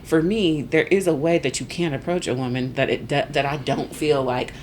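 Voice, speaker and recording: Woman, 40s, low voice, animated podcast guest storyteller, light background noise. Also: normal speed. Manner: animated storyteller, acts out voices, laughs often. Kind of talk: casual storytelling chat.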